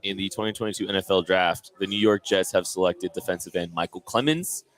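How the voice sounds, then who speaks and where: Low-voiced man hosting a live stream. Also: steady tone, a little fast, a bit bright. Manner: laughs often, announces picks. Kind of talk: casual live draft chat. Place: sports bar.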